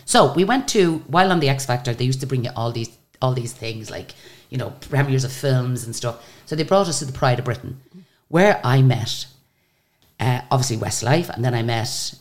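An Irish woman with a very deep voice, a singer chatting as a guest and telling stories in a lively, animated way. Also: normal speed. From Ireland. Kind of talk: casual interview storytelling.

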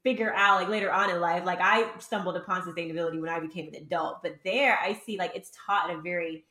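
A woman's voice: lowish voice, fast, pushed and bright. A woman hosting a casual interview, chatty and enthusiastic, peppering her sentences with "like". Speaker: podcast host, interviewer. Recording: echoey room sound.

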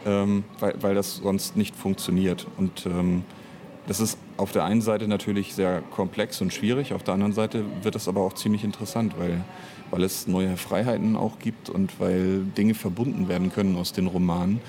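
Male interviewee, audio drama writer-director type: low register, thoughtful and halting delivery, noticeable background noise. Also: steady tone, dark tone. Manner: hesitant, reflective, searching for words. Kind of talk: unscripted interview conversation.

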